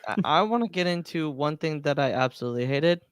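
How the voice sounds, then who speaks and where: Smooth, higher-voiced man bantering insistently. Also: animated, fast, dry recording, studio quality. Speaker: podcast co-host. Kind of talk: casual playful banter.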